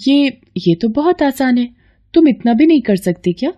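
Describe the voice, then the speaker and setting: A woman's voice: sits high, animated, moderate pace, mellow, lo-fi sound, voice pushed up. A woman voicing a magical talking book with a bossy, chiding, helpful manner in a moral story.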